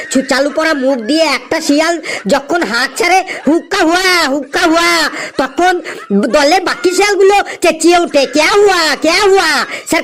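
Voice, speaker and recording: A man's voice: very high-pitched, a bit bright. A man voicing a cheeky, crafty schoolboy in a comedy cartoon, reciting in a sing-song way. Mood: howling, then cheeky, eager.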